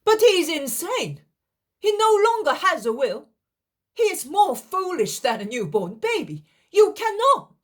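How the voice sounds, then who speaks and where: A woman's voice: very high-pitched, very animated, measured pace, voice pushed up, heavily acted. A woman doing an honest, earnest young man. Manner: earnest, pleading. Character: honest, pious young man, pleading and defiant.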